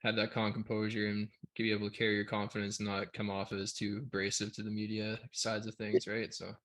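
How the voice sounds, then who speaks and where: Man, lowish steady voice, casual podcast host. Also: brisk. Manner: friendly, chatty, stumbles over questions. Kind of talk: casual interview.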